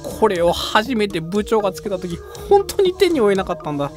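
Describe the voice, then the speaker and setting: A woman's voice: mid-range voice, sing-song, brisk, a little mellow, natural voice. A woman playing a mild, explanatory teenage club vice-president in a comedic anime-style voice drama. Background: background music.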